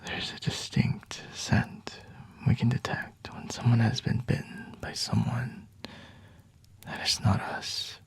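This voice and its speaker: A man playing a flirty, teasing, predatory vampire in an ASMR roleplay, with a breathy, husky whisper and intimate delivery. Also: mid-range voice, steady tone, slow, no echo, heavily acted. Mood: intimate, possessive.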